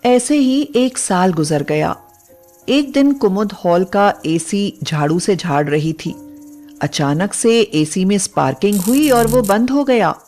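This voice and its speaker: Female narrator for a moral tale, unhurried scripted delivery, medium pitch. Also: lively intonation, a little mellow, soft background music.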